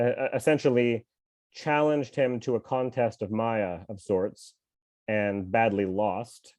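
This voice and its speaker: A man's voice: fairly low pitch, a little mellow, no echo, dull audio. Man reading scripture at home, slightly ill. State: mildly ill with a cough. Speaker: scripture reader and teacher. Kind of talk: reading a scripture translation aloud.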